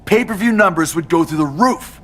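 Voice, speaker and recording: Cocky, higher-pitched male wrestler. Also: expressive, normal speed, fairly bright tone. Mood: smug, placating. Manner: smug, sarcastic. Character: cocky, self-entitled male pro wrestler, scheming and sarcastic. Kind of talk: scripted video game cutscene acting.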